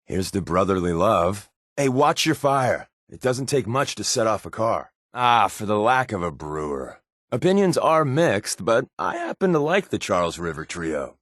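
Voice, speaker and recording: Wry spy sidekick from a post-apocalyptic game, kinda low, really expressive pitch, joking and laid back. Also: male voice, normal speed, no room sound, studio quality. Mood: wry, casual. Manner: wry, sardonic, joking asides. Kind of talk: video game companion voice acting.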